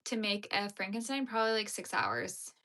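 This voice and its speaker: A woman's voice: medium pitch, moderate pace, bright, forceful tone. A young Canadian woman with a Canadian accent, chatting casually on a livestream, answering viewers' comments while she crochets.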